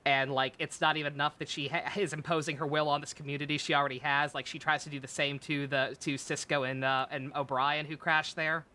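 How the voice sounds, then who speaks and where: A woman's voice: sits low, level tone, brisk, hardly any pauses, pushed and bright. A woman streaming a video game with casual, exasperated, sweary commentary.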